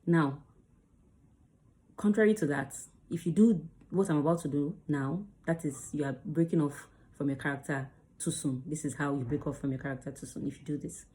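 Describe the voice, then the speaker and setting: Female acting coach, chatty and emphatic, with a low, warm voice. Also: moderate pace, rough recording. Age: adult under 35. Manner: chatty, emphatic, demonstrates lines. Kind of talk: casual teaching to camera.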